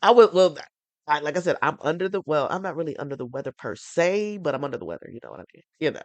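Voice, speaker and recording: A woman's voice: low voice, animated, quick pace, dry recording. A congested woman hosting a podcast, chatting casually in a rambling, enthusiastic way, restarting her sentences often. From North Carolina. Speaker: podcast host. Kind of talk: casual porch chat.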